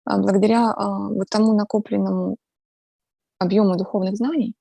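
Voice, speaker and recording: Gravelly, reflective woman. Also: medium pitch, fairly even intonation, dark tone, no echo. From Ukraine. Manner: reflective, pauses with filler sounds; earnest, talks about faith. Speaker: interview guest. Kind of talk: casual conversational interview.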